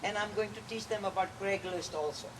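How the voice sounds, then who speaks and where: A woman from Pakistan with a Pakistani accent, performing a satirical monologue in a deliberate, dramatic way. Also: mid-range voice, over a noisy crowd. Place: live venue, cafe. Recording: distant mic, clear background noise.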